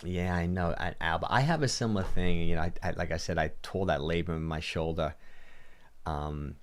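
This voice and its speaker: A man's voice: sits low, normal speed. A man in his late fifties hosting a casual fitness livestream Q&A from a home studio, rambling and restarting his sentences. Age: 50s. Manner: rambling, repeats words and restarts often. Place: home studio with purple lighting. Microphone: studio mic on boom arm.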